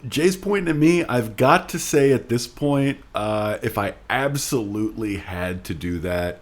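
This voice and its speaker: A man co-hosting a podcast, talking casually about books with joking, deadpan asides. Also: medium pitch, unhurried. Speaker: podcast host.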